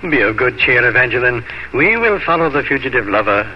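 A man's voice: medium pitch, sing-song, fairly quick, very noisy, close to an everyday voice. Solemn fatherly priest in old radio drama, acted by a man. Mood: consoling. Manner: solemn, consoling, formal speech. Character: kindly, steadfast priest guiding a young woman. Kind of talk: 1950s radio drama acting. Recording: dull old radio recording, loud music behind.

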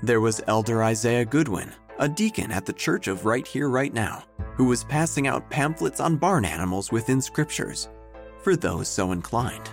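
A male narrator tells a scripted comic story with measured, theatrical delivery. His pitch moves in lively swings, dryly whimsical, over soft music.

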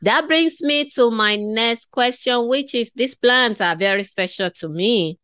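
Smooth-voiced woman host from West Africa. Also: fairly high pitch, measured pace, a bit bright, no echo, clean studio sound. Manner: enthusiastic, long winding questions. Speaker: podcast host. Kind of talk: interview questions.

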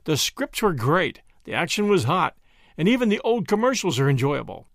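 A man's voice: a little high, sing-song, moderate pace, creaky, fairly bright tone, no echo, clean studio sound. A man hosting a podcast, reading a scripted introduction. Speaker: podcast host.

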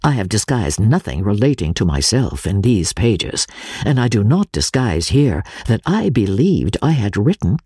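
A man reading a classic Victorian novel aloud as a measured, expressive and solemn dramatic narration. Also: medium pitch, slightly rough, no room sound, studio quality. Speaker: audiobook narrator.

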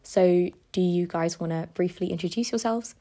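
A woman's voice: on the low side, normal speed, warm tone. Young woman hosting a podcast. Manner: earnest and personal, reading from a script. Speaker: podcast host. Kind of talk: scripted essay-like narration.

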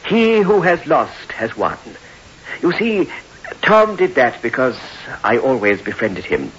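An actor playing a gentle, forgiving, pious vicar in a radio drama, speaking in an earnest, moralizing way. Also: male voice, medium pitch, big pitch swings, slow pace, husky, exaggerated voice. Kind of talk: 1960s radio drama acting. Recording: muffled, noisy old radio recording.

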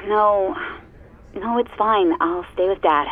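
A woman's voice: medium pitch, slow. A woman playing a disappointed, sulky child on a phone call in an audio drama, speaking curtly. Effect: phone filter. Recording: tinny phone line.

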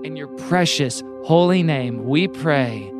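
A man's voice: a little high, expressive, slow pace, over music. A man co-hosting a podcast in a casual, irreverent, rambling style of joking banter. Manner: irreverent, mock-reverent, rambling. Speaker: podcast co-host.

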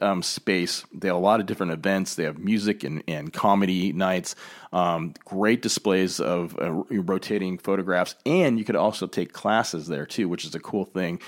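A very deep-voiced American man hosts a relaxed travel conversation. He rambles a little, circling back with fillers and restarts. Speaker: podcast host. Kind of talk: casual travel chat.

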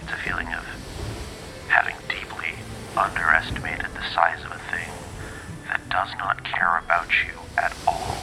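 An actor playing a frightened, lost man in an intimate audio fiction monologue, speaking in halting, breathy fragments over noisy ambience. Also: sits low, level tone, natural voice. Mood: haunted, frightened.

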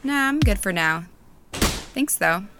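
A woman's voice: medium pitch, animated, unhurried, noisy background. A woman playing a laid-back, teasing visitor in a naturalistic audio drama, talking in a casual, offhand way.

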